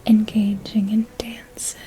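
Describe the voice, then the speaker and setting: A woman's voice: mid-range voice, flat delivery, mellow, slight background noise, natural voice, low-quality recording. A woman playing a refined, seductive vampire mentor in a whispered ASMR roleplay, formal and intimate, poised and knowing. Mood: alluring, evocative. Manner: slow, measured, formal, intimate instruction.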